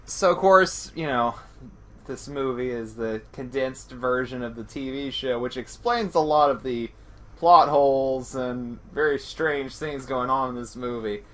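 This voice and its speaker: A man's voice: mid-range voice, a little slow. A man co-hosting a podcast, talking through a film in a casual, rambling, self-deprecating way. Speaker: podcast co-host.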